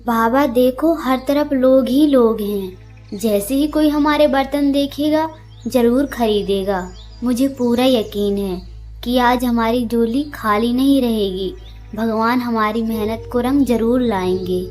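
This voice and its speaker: A woman's voice: a little high, slow pace, a little mellow, faint music behind. A woman voicing a potter's brave, loving, earnest young daughter in a cartoon story. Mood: hopeful.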